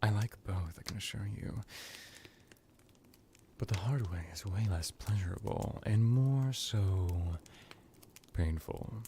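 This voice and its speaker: A man voicing a seductive, menacing vampire necromancer in an intimate ASMR hypnosis roleplay, purring deliberately and slipping into whispers. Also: lowish voice, warm tone, a little hiss or hum. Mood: coaxing menace.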